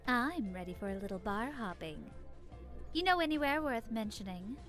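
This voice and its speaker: Sultry, playful party-loving undead seductress, animated. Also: female voice, on the high side, moderate pace. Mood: upbeat, playful. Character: flirty, hedonistic female vampire-like creature who loves partying. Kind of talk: video game voice acting. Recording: music behind the voice.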